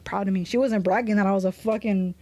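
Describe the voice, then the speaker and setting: A young woman at mid pitch, talking in loose, slangy chat full of swearing. She tells long personal stories as a guest on a podcast, in an easygoing, everyday register. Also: fairly even intonation, fast, darkish tone, no room sound. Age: young adult. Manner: slangy, profane, storytelling. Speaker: tattoo artist guest.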